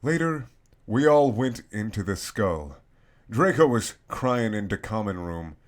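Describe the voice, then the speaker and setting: A man giving a comedic dramatic reading of a story in a halting, mock-serious way. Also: on the low side, animated. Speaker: reader.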